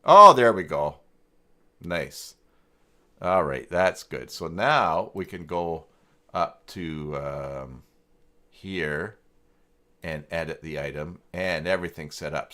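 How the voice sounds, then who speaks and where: Male tutorial host, very low pitch, slow pace, casual, hesitant muttering while clicking around. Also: expressive, bright, forceful tone. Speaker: live stream host demonstrating website software. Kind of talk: casual screen-share tutorial, thinking aloud.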